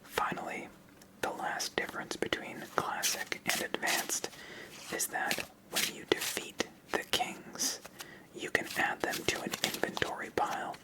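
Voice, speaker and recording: An ASMR host whispering a methodical, step-by-step walkthrough of a card game. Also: poor audio. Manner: methodical, explains moves step by step.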